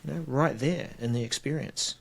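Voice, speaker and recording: A man with a New Zealand accent co-hosting a casual tech podcast, bantering dryly and explaining features in a relaxed way. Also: mid-range voice, moderate pace, creaky. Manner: dry, playful banter. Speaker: co-host.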